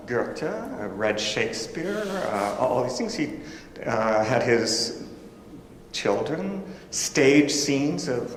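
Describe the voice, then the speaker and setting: Male academic panelist, reflective and halting, recorded in a roomy hall. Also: medium pitch. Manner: thoughtful, halting with repeated restarts. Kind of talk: conference remarks. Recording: roomy hall with long reverb, faint noise.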